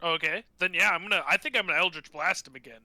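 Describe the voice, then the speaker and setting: A man's voice: a little high, fast talker, pushed and bright, no room sound. A man playing a tabletop role-playing game, chatting casually in a joking, foul-mouthed way.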